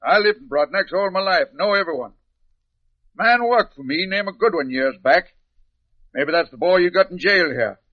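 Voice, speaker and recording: An actor in an old-time radio drama playing a blunt, impatient rural planter with a folksy, clipped, bossy manner. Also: male voice, high-pitched, expressive, moderate pace. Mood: brisk, assertive. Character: blunt, impatient rural male planter, plain-spoken, no-nonsense. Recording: muffled vintage radio recording.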